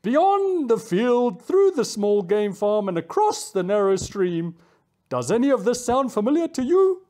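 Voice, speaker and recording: A woman doing a loud husky old bird. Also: on the high side, sing-song, unhurried. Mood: bossy.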